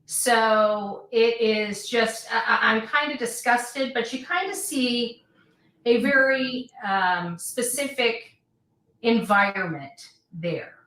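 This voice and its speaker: A woman giving an unscripted monologue in halting, deliberate, broken phrases, recorded in an echoey room away from the microphone. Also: a little high, a bit bright. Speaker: online livestreamer. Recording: echoey room, distant mic.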